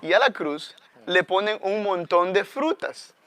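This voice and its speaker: Clear, higher-voiced Salvadoran man teaching. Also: animated, measured pace, a bit bright, dry recording. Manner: reflective, often adds a questioning "¿no?". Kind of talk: conversational religious teaching.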